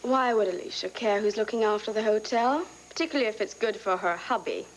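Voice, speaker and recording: A woman's voice: medium pitch, a little fast, a little hiss or hum, close to an everyday voice, rough recording. An actress playing a scheming woman who coaxes, pleads and makes veiled threats in a daytime soap scene. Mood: coaxing. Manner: silky, coaxing, veiled threats. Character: scheming woman pressing for a hotel job.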